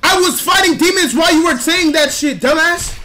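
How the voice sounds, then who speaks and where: A man's voice: extremely high pitch, fairly quick, sharp tone. A young man chatting casually over a game, exasperated and swearing heavily. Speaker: gaming video creator. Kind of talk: casual gaming voice chat. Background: background music.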